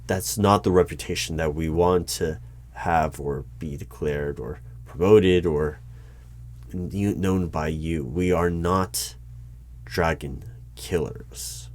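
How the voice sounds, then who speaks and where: A man performing a one-sided ASMR roleplay as a politely bureaucratic hiring manager, with a measured, halting, dry and formal delivery. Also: low-pitched, fairly even intonation, a little mellow. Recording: noticeable background noise with keyboard typing. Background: computer keyboard typing.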